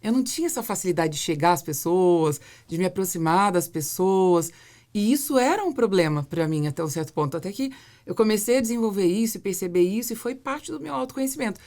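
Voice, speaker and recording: A woman's voice: medium pitch, animated, fast, darkish tone. A Brazilian woman hosting a casual interview, explaining her thoughts at length and building points through repetition. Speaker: podcast host and business mentor.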